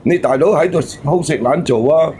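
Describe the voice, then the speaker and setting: A man's voice: a little high, moderate pace, over a noisy crowd. A man, argumentative and scolding, giving his opinions in a phone-in debate. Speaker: caller giving commentary. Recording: faint noise and ambience behind.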